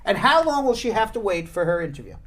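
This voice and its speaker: A man's voice: sits high, big pitch swings, fast, a bit bright. A man hosting a live legal question show, answering viewers with blunt, joking replies. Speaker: immigration lawyer and show host.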